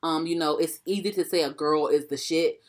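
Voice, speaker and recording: A woman's voice: sits low, steady tone, moderate pace. A young woman co-hosting a podcast, chatting animatedly about music and often repeating herself. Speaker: podcast co-host. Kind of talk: casual chat about music.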